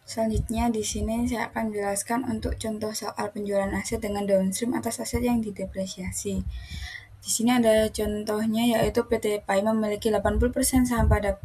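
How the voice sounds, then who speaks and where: A woman's voice: mid-range voice, little pitch movement, brisk, warm tone, husky, rough recording. A young woman explaining worked steps as a student presenter. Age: young adult. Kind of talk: explanatory class presentation, read step by step.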